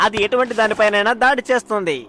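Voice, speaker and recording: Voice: medium pitch, fairly even intonation, rapid-fire, sharp tone, lightly acted. An actor playing an eager, reassuring young inventor proud of homemade gadgets in a dubbed cartoon. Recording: music behind the voice.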